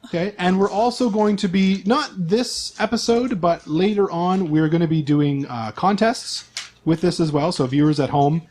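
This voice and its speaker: Higher-voiced man running a tabletop game. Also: normal speed, darkish tone, a little hiss or hum. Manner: informal, conversational asides. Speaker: game master and host. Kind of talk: explaining a tabletop game setting to players.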